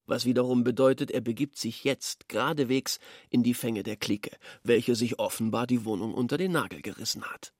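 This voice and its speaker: A man's voice: medium pitch, a little fast, no echo, studio quality, natural voice. An actor playing a shrewd, nosy man thinking aloud as he eavesdrops, musing in clipped phrases in a radio drama. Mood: musing, calculating. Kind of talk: radio drama interior monologue.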